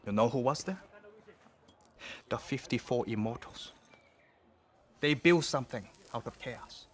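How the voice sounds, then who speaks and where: Swaggering, animated male crime boss voiced by an actor. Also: medium pitch, measured pace, slight background noise, natural voice. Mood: bitter, proud. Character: swaggering male Singaporean gang boss and arms dealer. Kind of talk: video game cutscene acting.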